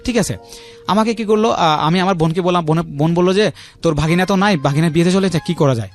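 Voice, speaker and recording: A man's voice: sits high, fast. A man in his thirties with a Bangladeshi accent, telling his personal story as a radio interview guest, repeating himself and often adding check-in tags. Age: 30s. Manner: repetitive, uses frequent check-in tags. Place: radio studio. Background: faint music.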